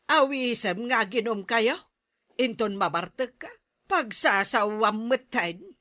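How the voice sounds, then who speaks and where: Woman acting a slow, bright-voiced scolding Ilocano mother. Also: on the high side, animated, no room sound, studio quality, full character voice. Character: scolding mother of a drunkard, exasperated. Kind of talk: Ilocano radio-style drama acting.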